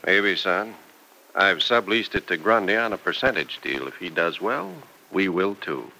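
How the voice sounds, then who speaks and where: A man's voice: deep voice, lively intonation, unhurried, bright, forceful tone, full character voice, low-quality recording. An actor playing a prudent older farmer father, patient and folksy, in a vintage radio soap opera. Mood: reasonable, gentle. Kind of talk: 1940s-50s radio soap opera acting. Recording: vintage radio broadcast recording.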